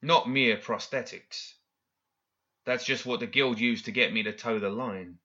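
A man's voice: medium pitch, a little slow, pushed and bright, dull audio. A man voicing a stern, frank and defiant man in an audiobook, terse and guarded in delivery. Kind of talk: audiobook character acting.

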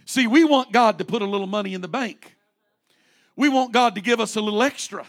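A man's voice: high-pitched, a little fast. A man in his late forties, a pastor preaching a fervent sermon with rising repeated refrains. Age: 40s.

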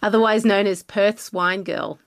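A woman's voice: medium pitch, fairly even intonation, a little slow, over loud music. A woman with an Australian accent hosting a podcast, upbeat and bubbly in her interviewing. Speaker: podcast host.

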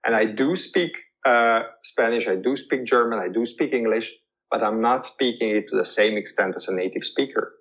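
A man's voice: medium pitch, moderate pace, a bit bright. A man whose first language is Hungarian, answering interview questions thoughtfully and repeating words as he searches for phrasing. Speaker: guest. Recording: dull lo-fi audio.

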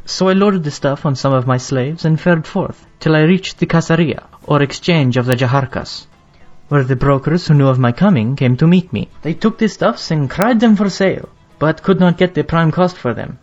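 A man's voice: on the high side, moderate pace, a little mellow, close to an everyday voice. A man playing a young merchant from Baghdad, telling his tale in formal, declamatory archaic English for an audio drama. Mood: measured storytelling. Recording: dull lo-fi sound, faint music behind.

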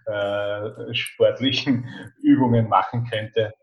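An Austrian man in his fifties speaks as a podcast guest. He keeps to a narrow, even pitch and picks his words with some hesitation. The recording is dull and echoey. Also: slow. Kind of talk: casual interview about his life. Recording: dull, echoey remote-call sound.

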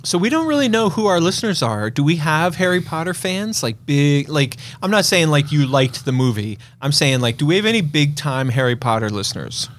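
A man's voice: a little high, big pitch swings, brisk, hardly any pauses, slight background noise. A man in his fifties co-hosting a casual, joking movie podcast. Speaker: podcast co-host. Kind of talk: casual banter about movies.

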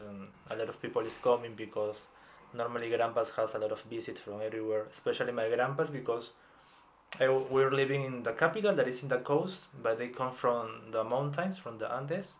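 A man in his thirties from Peru, talking in a relaxed, hesitant way as a podcast interview guest. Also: mid-range voice, dull audio. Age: 30s. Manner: reflective, hesitant, with light laughs. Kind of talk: casual personal interview.

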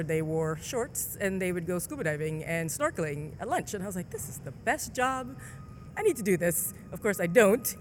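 A woman from the Caribbean, mostly Jamaica, as an engineer guest telling relaxed stories full of fillers. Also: lowish voice, a little hiss or hum. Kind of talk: conversational interview.

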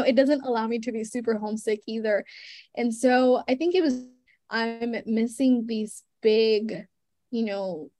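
A young woman in her twenties, a guest journalist chatting casually in an interview, full of "like" and "you know" and quick laughs. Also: on the high side, a little mellow.

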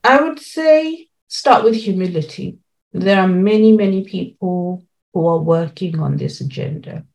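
Female interview guest, policy expert, mid-pitched voice, earnest and emphatic delivery. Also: lively intonation, measured pace, vocal fry, darkish tone, rough recording. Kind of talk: spoken interview answers.

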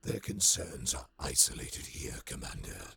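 An actor playing a coldly analytical military adjutant with a gravelly voice, precise and detached, in a sci-fi war drama. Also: male voice, rumbling low, lively intonation, a little slow, sharp tone, full character voice. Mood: clinical briefing. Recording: prominent music behind the voice.

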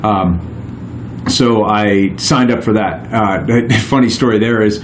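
A man's voice: fairly low pitch, steady tone, slow pace, reverberant, slight background noise. A man in his forties, a guest on a podcast, telling his stories in a casual, rambling way with many restarts. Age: 40s. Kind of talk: casual chat.

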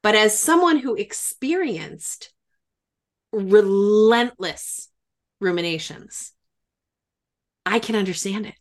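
A woman's voice: mid-range voice, animated, slow pace, pauses often. A woman hosting a reflective interview, speaking in an emphatic, explanatory way, as a former clinician drawing on experience. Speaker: podcast host and former clinician.

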